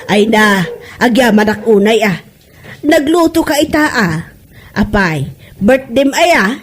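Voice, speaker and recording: High-voiced Ilocano neighbour woman played by an actress. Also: expressive, unhurried, slight background noise. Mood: chatty. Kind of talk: comedy drama acting.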